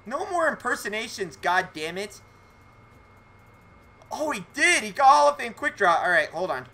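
Animated young man with a bright voice. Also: high voice, normal speed, slight background noise. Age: young adult. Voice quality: lisp. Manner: animated, joking, mildly profane. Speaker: video game live streamer. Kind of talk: casual livestream chat with viewers. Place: indoor room at home.

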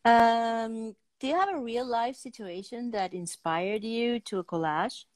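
A middle-aged woman hosting a casual interview on a video call, with halting phrasing. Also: fairly high pitch, dry recording, faint music behind. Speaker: talk show host. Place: indoor room, on a video call.

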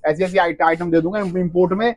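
A high-voiced man in his thirties talks very fast, listing goods and discount prices like a seasoned seller. His pushy patter stumbles and repeats words as he rushes on. The sound comes close from a phone camera in a shop. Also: steady tone. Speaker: wholesale cosmetics shop owner. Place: cosmetics shop among stocked shelves, indoors. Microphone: handheld phone camera up close.

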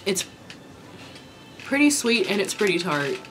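A young woman from Kentucky chatting casually while eating, her voice raspy from a sore throat, rambling with many ums. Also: medium pitch, big pitch swings, measured pace, a bit bright, slight background noise. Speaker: vlogger. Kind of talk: casual mukbang chat. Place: small indoor room with dark curtain backdrop.

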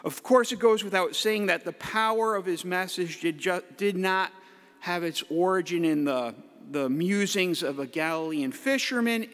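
Middle aged priest preaching slow at the pulpit, kinda high voice, long church echo. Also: male voice. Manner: playful, with hype-man shouts. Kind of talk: homily from the pulpit. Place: large church. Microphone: pulpit mic. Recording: echoey church hall.

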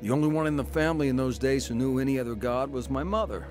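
A man acting as a grown son looking back on a crooked youth and the war. He tells it in a plain, matter-of-fact way at a middling pitch, as radio drama narration with music underneath. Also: fast talker, a little mellow, close to an everyday voice. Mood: reflective. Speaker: narrator and lead actor. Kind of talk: scripted first-person radio drama narration.

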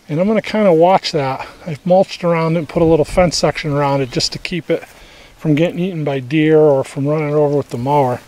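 A man's voice: on the high side, darkish tone. A man giving an unscripted, plainspoken walk-through of his homestead garden, talking casually outdoors from behind a handheld camera. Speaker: homesteader hosting a garden tour vlog. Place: outdoors in a rural yard. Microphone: handheld camera's built-in microphone, speaker behind camera.

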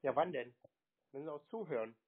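A man's voice: fairly high pitch, lively intonation, fast talker, slightly rough, dry recording. Male prankster on the phone, dry and needling, thin telephone sound. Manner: sardonic, challenging, evasive. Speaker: prankster answering scam calls. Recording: narrow tinny phone line.

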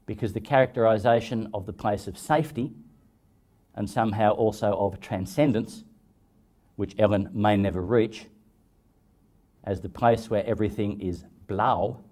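A man in his fifties giving prepared commentary as a translator, measured and formal. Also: on the low side, dark tone.

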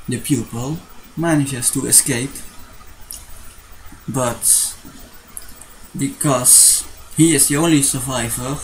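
A young Dutch-accented man talks slowly through a casual bedroom vlog, picked up by a desk webcam. His voice has a gravelly, fry-laden edge, and he keeps restarting sentences between fillers. A faint hiss sits under the recording. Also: mid-range voice, poor audio. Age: young adult. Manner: rambling, restarts often, hesitant fillers. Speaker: vlogger. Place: small bedroom with posters.

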